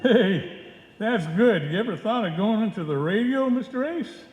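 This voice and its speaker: An actor playing an affable, curious announcer neighbour who is happy to oblige, in old-time radio comedy. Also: male voice, high voice, lively intonation, normal speed, a little mellow, slight background noise. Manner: obliging, inquisitive. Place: on stage in a live performance. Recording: echoey live hall recording, long reverb.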